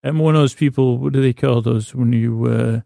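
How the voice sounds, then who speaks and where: A man hosting a bedtime podcast with a rambling, absurd comic monologue, halting and often losing his thread, his words a bit slurred and mumbled. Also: mid-range voice, steady tone, vocal fry, mellow, dry recording, clean studio sound.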